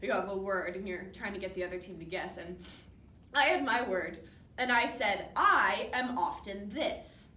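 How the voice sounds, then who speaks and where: A woman playing a grieving but upbeat widow from Seattle in a dramatic monologue, talking haltingly and conversationally as if interviewed for a documentary. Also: mid-range voice, bright, forceful tone, close to an everyday voice. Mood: fond, flustered. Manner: halting, conversational, with nervous asides. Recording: distant roomy hall recording with faint noise.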